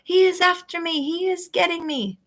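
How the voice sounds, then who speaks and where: A woman's voice: animated, moderate pace, creaky, noisy background. A woman voicing a huge male giant who screeches and begs in terror during a nightmare, in a storybook reading. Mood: pleading. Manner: shrill, pleading, frantic.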